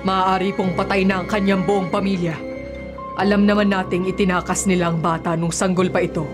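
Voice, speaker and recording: A woman's voice: mid-range voice, little pitch movement, fairly quick, natural voice. A woman playing a deferential servant of a monster king in a radio horror drama, formal and submissive. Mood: anxious deference. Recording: dramatic music underneath.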